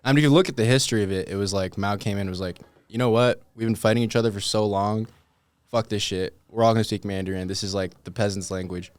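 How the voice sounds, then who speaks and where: A man's voice: fairly low pitch, steady tone, quick pace, clear, resonant tone, dry recording. A man from Hong Kong, a music producer and podcast guest, chatting in an easygoing way and saying "bro" a lot. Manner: easygoing, says "bro" often, laughs easily. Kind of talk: casual podcast chat.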